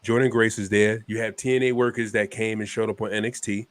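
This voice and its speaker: A man's voice: fairly low pitch, flat delivery, quick pace, no echo. A man with a stuffy head cold hosting a laid-back wrestling talk show, tossing "man" into almost every line. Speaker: podcast host. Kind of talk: casual wrestling chat.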